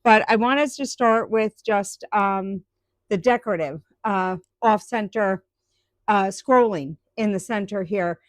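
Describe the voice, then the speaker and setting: A woman's voice: mid-range voice, unhurried, no echo. A woman chatting live with viewers about her woodturning, rambling with lots of ums and restarts. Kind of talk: casual live-stream chat.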